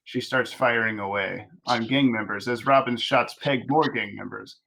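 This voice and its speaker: A man reading stage directions aloud as narrator at a table read of an animated script. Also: medium pitch, moderate pace, creaky, over a noisy crowd.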